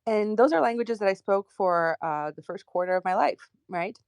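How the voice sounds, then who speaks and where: A woman's voice: medium pitch, moderate pace, creaky, no room sound. Chatty Ukrainian-accented woman, dry sound. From Poland, Ukrainian family. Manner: lively, chatty, self-deprecating asides. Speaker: political scientist guest. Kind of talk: interview answers.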